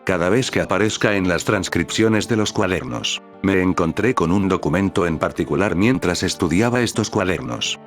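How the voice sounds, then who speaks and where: Deep-voiced man narrating a creepy tale. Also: moderate pace, hardly any pauses, faint music behind. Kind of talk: scripted narration of a creepy story.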